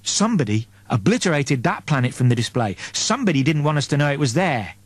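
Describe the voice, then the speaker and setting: A man's voice: fairly high pitch, very animated, fast, no room sound, voice pushed up. A man voicing an anxious, sarcastic, exasperated man in a space comedy audiobook, with emphatic, wordy delivery. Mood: alarmed, exasperated.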